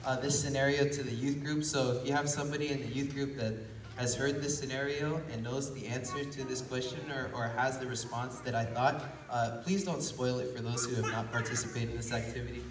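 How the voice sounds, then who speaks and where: Hoarse young preacher in an echoey hall. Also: male voice, mid-range voice, fairly quick, a crowd in the background. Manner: relaxed and conversational, rising with emphasis. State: hoarse from illness. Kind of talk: casual sermon. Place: small church hall with congregation. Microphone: phone recording from the pews. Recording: echoey church hall from far off.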